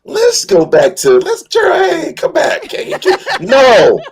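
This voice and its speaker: A man's voice: very high voice, wildly swooping pitch, takes it slowly, crowd noise. A man in a casual panel chat about films, colloquial and opinionated, often repeating phrases for emphasis.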